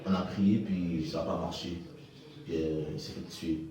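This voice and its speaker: A man from Haiti answering interview questions in a halting way, repeating words as he talks. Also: sits low, steady tone, distant mic, crowd noise. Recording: echoey room, off-mic.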